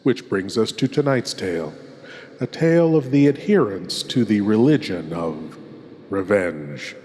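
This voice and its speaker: A man as a courtly, sinister horror host, narrating theatrically with ominous dramatic pauses. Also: on the low side, lively intonation, mellow. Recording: long cavernous reverb.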